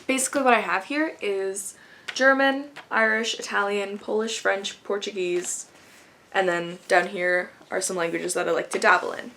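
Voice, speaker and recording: A woman's voice: medium pitch, steady tone, moderate pace, a bit bright, slight background noise. Young woman rambling on to camera, laughs a bunch, casual vlog chat. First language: English. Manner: chatty, rambling, laughs often. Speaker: vlogger.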